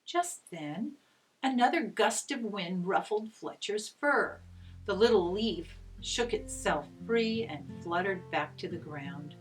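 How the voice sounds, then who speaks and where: Woman reading a kids story, gentle n expressive, takes it slow, guitar music playing. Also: mid-range voice, rough recording. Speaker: storyteller reading aloud to children. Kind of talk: expressive scripted story narration.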